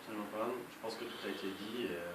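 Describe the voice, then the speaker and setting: A young man from Belgium, answering interview questions in a calm, measured way with frequent hesitations. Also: on the low side. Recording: echoey room, voice far from mic, noisy.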